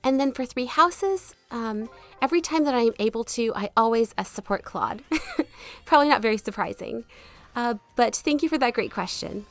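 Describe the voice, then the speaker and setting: A woman's voice: a little high, lively intonation, normal speed. A woman chatting casually as she answers viewer questions in a gaming video, polite and easygoing, her voice not fully back after being sick. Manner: chatty, laughs easily, polite. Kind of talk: casual chat over gameplay. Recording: music behind the voice. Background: background music.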